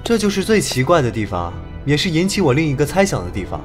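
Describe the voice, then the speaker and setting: A man's voice: a little high, very animated, fast, faint music behind. A man playing an assured, cheeky young detective. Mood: assured, probing. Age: young adult. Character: bold young male police detective, cheeky with his father. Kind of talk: Chinese audio drama acting.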